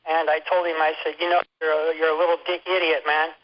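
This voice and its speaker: Male patient giving an informal complaint account, fairly high voice, muffled telephone sound. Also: flat delivery, fast. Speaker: hospital patient reporting complaints on a conference call. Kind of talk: informal first-hand account. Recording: muffled lo-fi telephone conference line.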